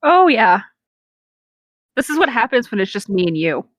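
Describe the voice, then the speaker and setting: A woman's voice: fairly high pitch, big pitch swings, normal speed, no room sound. A woman co-hosting a comedic reading, narrating a story aloud with casual, sardonic asides. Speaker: co-host of a comedic fan-fiction reading.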